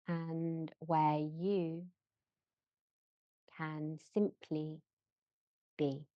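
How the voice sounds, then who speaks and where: A woman guiding a yoga nidra relaxation in a gentle, measured manner, leaving long pauses between short phrases. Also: sits low, flat delivery, no room sound, highs cut off. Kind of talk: slow guided relaxation meditation.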